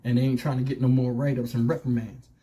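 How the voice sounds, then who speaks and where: A man's voice: medium pitch, little pitch movement, fast talker, mellow. A man giving casual opinion commentary as a channel host, speaking colloquially and conversationally with rhetorical questions.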